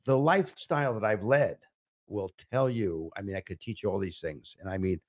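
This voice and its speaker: A man's voice: lowish voice, a little fast. An older man, a guest on a podcast, telling stories in casual chat and chuckling often. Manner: chuckling, storytelling.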